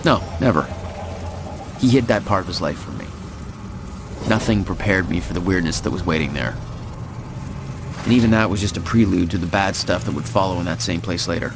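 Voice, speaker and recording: An actor playing a grim, weary hero who recounts past events in a video game. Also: male voice, low-pitched, brisk, long pauses, noisy background. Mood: grim, reflective. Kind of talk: scripted first-person game narration.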